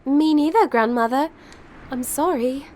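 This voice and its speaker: A woman's voice: high voice, unhurried, darkish tone. A woman playing a sweet, friendly young girl in an audio drama.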